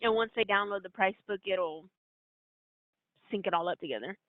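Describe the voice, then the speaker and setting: A woman's voice: medium pitch, normal speed, no room sound. A woman giving an informal, step-by-step walkthrough as a trainer on a conference call. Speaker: trainer explaining software steps. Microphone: conference call line. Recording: narrow, tinny conference-call line.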